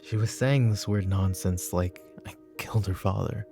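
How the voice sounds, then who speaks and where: A man playing a weary, brooding middle-aged mafia boss and father in a roleplay audio drama, speaking in a halting, intimate way. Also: warm tone, faint music behind. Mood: uneasy, brooding. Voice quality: gravelly, low. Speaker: voice actor.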